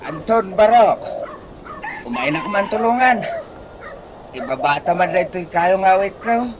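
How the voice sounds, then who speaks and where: An actor playing a weary, fond ageing uncle in a village radio comedy drama. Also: male voice, high-pitched, expressive, moderate pace. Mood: calling out. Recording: noisy radio drama, birdsong ambience behind.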